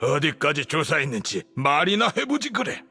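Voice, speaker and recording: An actor playing an arrogant older grand sage villain in a game, with a pompous, commanding, indignant delivery. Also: male voice, on the high side, sing-song, normal speed, bright, forceful tone, faint music behind, heavily acted. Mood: indignant, cornered. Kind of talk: dramatic game voice acting.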